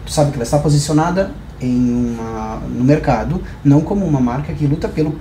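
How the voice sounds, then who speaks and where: A man's voice: medium pitch, a little fast, warm tone. A man with a southern Brazilian accent in a podcast conversation, explaining things through examples and rhetorical questions. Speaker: podcast guest, hair professional. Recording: roomy echo, clear background noise.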